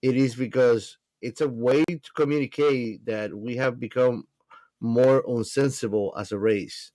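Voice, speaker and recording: A man's voice: medium pitch, fairly even intonation, unhurried, dark tone, dry recording, low-quality recording. Emphatic man chatting, dry close sound. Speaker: podcast co-host. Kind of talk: casual film discussion.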